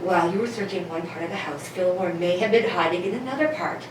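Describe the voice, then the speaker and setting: A woman's voice: lowish voice, fast, close to an everyday voice. A woman playing a clever, impatient detective son in an amateur comedic radio play, with a wry, explaining manner. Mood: reasoning. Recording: echoey room, distant mics, noisy.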